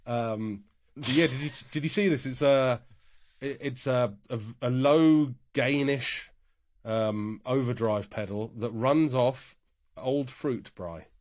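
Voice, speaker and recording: A man's voice: medium pitch, slow pace, dry recording. A man co-hosting a casual podcast, still stuffy and congested from a bad cold. He chats and jokes with easy fillers, close and dry to the mic. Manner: chatty, joking, trails off with fillers. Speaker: podcast co-host. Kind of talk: casual chat.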